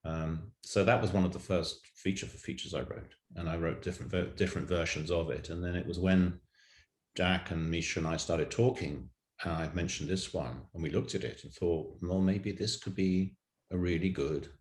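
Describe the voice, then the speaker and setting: Middle-aged male storyteller, very low voice, measured and halting, roomy echoing recording. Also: fairly even intonation. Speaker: writer and actor, interview guest. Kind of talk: reflective anecdote in a panel interview.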